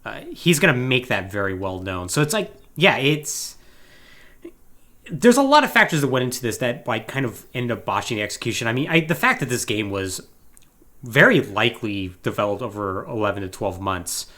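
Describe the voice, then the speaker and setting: Guy cohosting a podcast, super animated pitch swings, casual and emphatic, regular mid voice. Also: normal speed. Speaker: podcast co-host.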